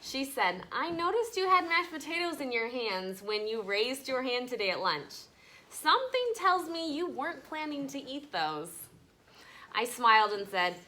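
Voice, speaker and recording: A woman teacher reading a story to children and discussing it with them in an animated, conversational way, often checking in with questions. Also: high-pitched, slight background noise. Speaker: elementary school teacher. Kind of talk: children's story read-aloud with class discussion. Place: classroom with children.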